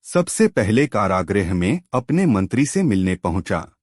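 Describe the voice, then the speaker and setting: A man narrating a moral story in a slow, measured way, ending with a lesson for the listener. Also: fairly low pitch, big pitch swings, a little mellow, no echo, studio quality.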